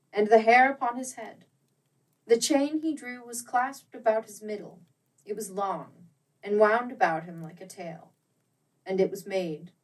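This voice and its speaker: A woman narrating a classic ghost story as an audiobook, with theatrical, expressive and deliberate phrasing. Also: medium pitch, distant mic, poor audio. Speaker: audiobook reader.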